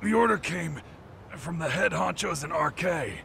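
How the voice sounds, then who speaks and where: An actor playing a crude, hot-tempered low-rank gang thug in a dubbed video game, profane and sneering, with a gravelly voice. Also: male voice, animated, a little slow, sharp tone, slight background noise, full character voice. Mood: resigned. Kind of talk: English dub video game cutscene acting.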